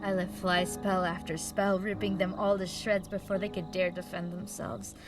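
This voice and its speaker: Vengeful, scarred female mage, woman actor: lower register, deliberate emotive monologue for audio roleplay, clear music bed. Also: level tone, natural voice. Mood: cold, resolute. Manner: emotive, deliberate, halting with pauses. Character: traumatized, vengeful female mage recounting her past.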